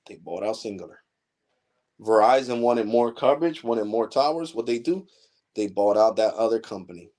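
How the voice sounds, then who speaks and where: A man's voice: lowish voice, lively intonation, moderate pace, darkish tone. A man in his forties hosting a tech channel, lecturing viewers in a blunt, heated, profane way during a casual livestream rant. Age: 40s. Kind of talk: casual ranting livestream monologue.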